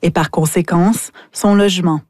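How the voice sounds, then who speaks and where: A woman's voice: fairly low pitch, steady tone, normal speed, darkish tone, no echo, clean studio sound. A woman narrating a scripted radio news report in a composed way.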